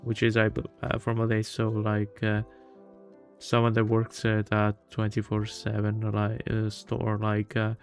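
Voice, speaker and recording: Slow-talking, low-voiced male streamer. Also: monotone, vocal fry, faint music behind. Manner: hesitant, halting, subdued. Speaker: streamer hosting a live visual novel playthrough. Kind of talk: casual chat to stream viewers.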